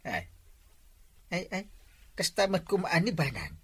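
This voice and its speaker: A woman playing a husky, griping village woman. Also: on the low side, big pitch swings, a little slow, full character voice. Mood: grumbling. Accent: Ilocano. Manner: loud exaggerated complaining exclamations. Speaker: village character in a comedy sketch. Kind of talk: comic drama acting.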